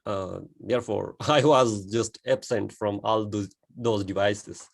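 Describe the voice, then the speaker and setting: A man's voice: lowish voice, slow, vocal fry. A man from Pakistan with a Pakistani accent, chatting on a video call from home, laughing often and hesitating with many uhs. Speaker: co-host and guest. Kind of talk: casual chat on a video call. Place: his home.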